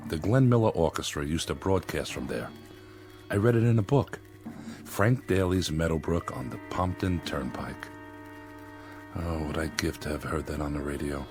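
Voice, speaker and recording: An actor playing a weary, stubborn leader of hungry survivors, firm and commanding, in a dramatic radio play. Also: male voice, extremely low pitch, moderate pace, a little mellow. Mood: wistful. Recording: dramatic music behind the voice.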